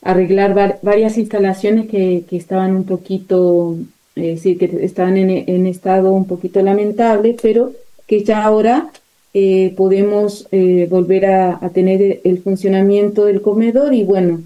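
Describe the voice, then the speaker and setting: A woman's voice: mid-range voice, steady tone, normal speed, dark tone, lo-fi sound. A woman missionary nun giving a spontaneous interview account, often hesitating and searching for words.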